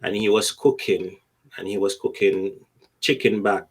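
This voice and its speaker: Lowish-voiced Jamaican man telling stories. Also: a little slow. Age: middle-aged. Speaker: podcast guest. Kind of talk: casual anecdotal chat.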